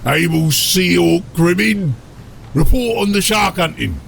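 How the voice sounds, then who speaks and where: Gravelly, bossy ork captain. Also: male voice, high-pitched, lively intonation, measured pace, a bit bright, slight background noise, pitched above the performer's own voice, heavily acted. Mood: commanding, pleased. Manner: coarse ungrammatical speech, barking orders. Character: gruff, bossy male ork submarine captain, short-tempered. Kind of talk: fantasy audiobook character acting.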